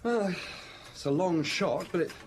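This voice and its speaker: An actor playing an eager young police inspector in a radio drama, talking earnestly. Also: male voice, high voice, expressive, a little slow. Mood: explaining.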